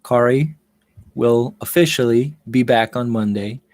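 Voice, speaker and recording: A man's voice: mid-range voice, a little slow, darkish tone, no room sound. A male audiobook narrator and host with a mid-range voice. He moves between literary reading and informal talk to listeners, in a very dry recording.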